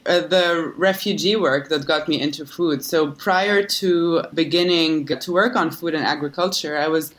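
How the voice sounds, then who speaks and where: A woman in her thirties from Lebanon, a social entrepreneur answering interview questions thoughtfully, with fillers and restarts. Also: sits low.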